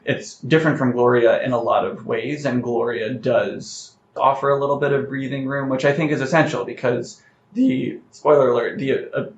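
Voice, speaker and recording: A man being interviewed as a playwright, talking in a reflective, carefully measured conversational way. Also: medium pitch, fairly even intonation. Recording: roomy, slightly muffled, noticeable background noise.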